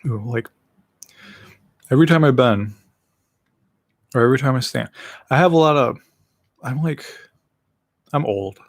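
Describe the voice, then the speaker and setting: A man's voice: medium pitch, a little slow, long pauses, no room sound, studio quality. A man in his late twenties with a slight lisp, chatting casually and rambling with self-deprecating jokes on his own livestream. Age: late 20s. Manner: eating while talking. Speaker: livestream host. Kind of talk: casual solo livestream chat.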